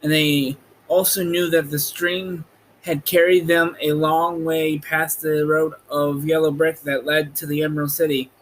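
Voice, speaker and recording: A man's voice: a little high, flat delivery, measured pace, rough recording. A man reading a children's storybook aloud as scripted narration. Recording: light background noise.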